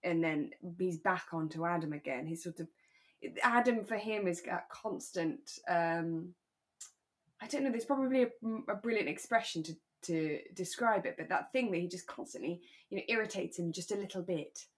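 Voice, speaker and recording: A woman's voice: fairly low pitch, moderate pace. A woman chatting casually as a podcast co-host, recapping a radio drama in a conversational way. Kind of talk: relaxed chat discussing a radio drama.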